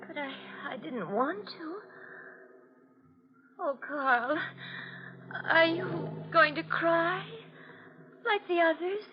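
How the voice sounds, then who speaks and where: A woman's voice: extremely high pitch, slow, long pauses. A woman acting a beautiful, insane young murderess, childlike and volatile, in an old radio thriller. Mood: tender, unsettled. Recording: dull, noisy old radio recording.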